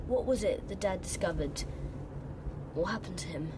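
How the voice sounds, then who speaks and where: A woman's voice: fairly low pitch, lively intonation, normal speed, in a large hall, background noise, natural voice. A woman playing an earnest, insistent young British schoolboy who mourns his father, in a radio drama. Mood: accusing, insistent. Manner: insistent, questioning.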